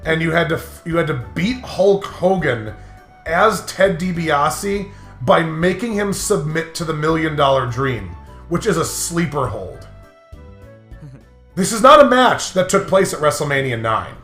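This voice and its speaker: Higher-voiced man chatting in a roomy space. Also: expressive, a little slow, a bit bright. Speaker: host of a gaming commentary video. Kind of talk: casual banter and rambling gaming stories. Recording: roomy sound with music behind.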